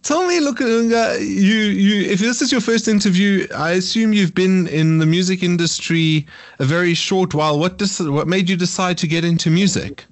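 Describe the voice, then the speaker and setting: A man interviewing a musician, asking questions in halting, restarting phrases. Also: high voice, no room sound.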